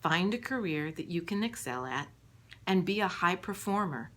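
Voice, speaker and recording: Woman narrating an audiobook, slightly low voice. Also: expressive, moderate pace, a bit bright, slight background noise. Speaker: author reading her own book.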